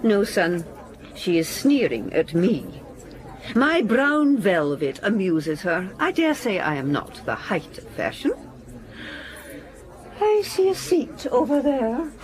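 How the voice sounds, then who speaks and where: Lively, genteel English mother. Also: mid-range voice, takes it slowly. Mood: disdainful. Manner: theatrical, genteel, teasing. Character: brisk, fond, proud middle-aged English mother. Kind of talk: period radio drama acting.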